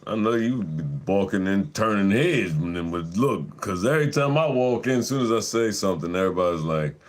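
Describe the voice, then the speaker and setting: A man with a deep voice, hosting a livestream in casual chat, laid-back and profane, laughing often. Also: animated, a little fast. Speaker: livestream host.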